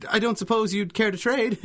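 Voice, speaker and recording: A man's voice: high-pitched, steady tone, normal speed, noisy background, close to an everyday voice. A man playing a timid, self-deprecating bug scientist in a 1930s-style radio drama, stammering and fussing meekly. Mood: meek, bashful. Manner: stammering, fussy, nervous chuckles, meek exclamations. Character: timid, flustered, self-deprecating male scientist, mild entomologist.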